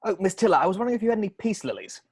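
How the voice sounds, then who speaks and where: A man's voice: high-pitched, big pitch swings, rapid-fire, slightly rough, no echo, exaggerated voice. Male reader: serious cop, dry home-mic sound, clipped deductions. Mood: probing. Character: driven, serious male police sergeant. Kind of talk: table read over video call. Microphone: video call microphone at home.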